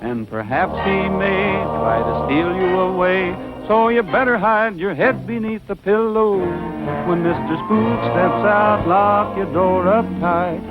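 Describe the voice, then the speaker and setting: A man sings a vintage novelty tune in a high voice, taking it slowly. Loud music plays on a dull old recording. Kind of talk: vintage novelty song singing.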